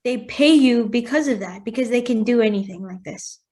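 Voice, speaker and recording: A woman with a fairly high voice co-hosts a casual podcast. She chimes in with quick reactions and playful, teasing questions. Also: mellow. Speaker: podcast co-host. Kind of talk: casual chat.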